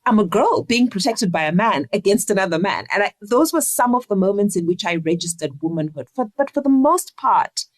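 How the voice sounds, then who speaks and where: A woman from Zimbabwe, speaking earnestly and deliberately as a reflective interview guest. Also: medium pitch, very animated, dry recording. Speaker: interview guest, leadership coach.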